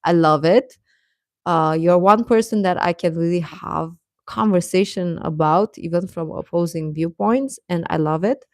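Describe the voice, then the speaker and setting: Slow-paced woman livestreamer, gentle and encouraging. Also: fairly low pitch, dry recording, clean studio sound. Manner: gentle, encouraging, affectionate toward listeners. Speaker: livestream host. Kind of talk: casual livestream chat replying to viewers.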